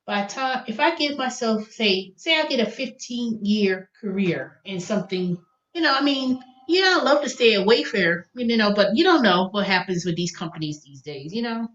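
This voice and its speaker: A woman's voice: medium pitch, animated, normal speed, a bit bright, dull audio. An American woman in her early fifties chatting casually on a livestream, thinking aloud, repeating and correcting herself as she goes. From United States. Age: early 50s. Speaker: livestream host. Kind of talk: casual rambling livestream chat.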